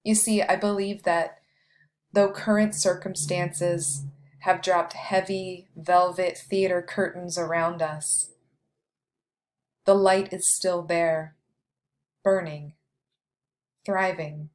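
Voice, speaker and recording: A woman guiding a meditation with scripted, poetic narration, delivered in a measured way with long pauses. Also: on the low side, fairly even intonation, a little mellow, slight background noise. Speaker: meditation guide.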